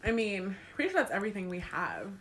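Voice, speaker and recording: Fast-talking bubbly young woman. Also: medium pitch, sing-song, crowd noise. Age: young adult. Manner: bubbly, quick, filler-heavy. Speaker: podcast co-host. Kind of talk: casual chat.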